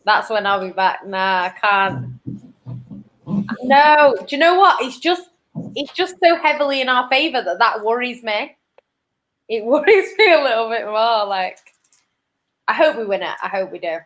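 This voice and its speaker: A young woman with a Manchester accent, chatting casually as a football pundit, animated and quick to laugh. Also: fairly high pitch, low-quality recording. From England. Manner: animated, laughs often, trails off. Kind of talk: casual chat.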